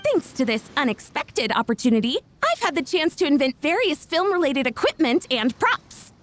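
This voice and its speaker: An excitable young female inventor from a video game, with a very high and lively voice. She gushes theatrically in formal phrases. Faint music plays behind her. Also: moderate pace. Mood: pleased. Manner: gushing, theatrical, formal wording. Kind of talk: animated video game acting.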